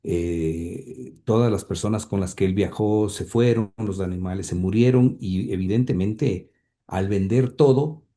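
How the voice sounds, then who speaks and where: Slow, dark-voiced hesitant man. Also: lowish voice. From Ecuador. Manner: hesitant, many fillers and restarts. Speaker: interview guest, grandson of the subject. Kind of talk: recounting family history in an interview.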